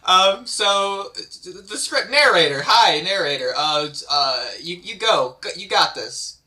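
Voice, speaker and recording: A man with a somewhat high, bright voice runs a casual table read. His delivery swings up and down in pitch, and he keeps things easygoing and encouraging. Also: animated, unhurried. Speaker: host directing an amateur script table read. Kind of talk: casual chat between readings.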